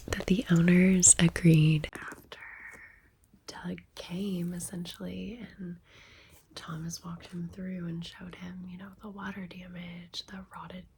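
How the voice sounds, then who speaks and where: A woman in her thirties hosting ASMR, telling a personal story in a breathy, intimate, chatty whisper. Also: lowish voice, slight background noise, low-quality recording. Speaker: ASMR video host.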